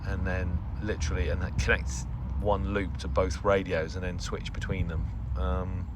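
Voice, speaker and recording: Low-voiced man, rambling outdoors. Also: little pitch movement, moderate pace. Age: middle-aged. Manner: rambling, hesitant, trails into asides. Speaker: radio hobbyist video host. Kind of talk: casual unscripted channel update. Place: outdoors in a garden. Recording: outdoor ambient noise.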